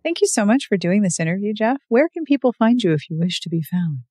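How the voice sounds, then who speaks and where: A woman's voice: medium pitch, big pitch swings, fast, mellow, breathy edge, dry recording, studio quality. A woman hosting a podcast in a casual, conversational style. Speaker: podcast host.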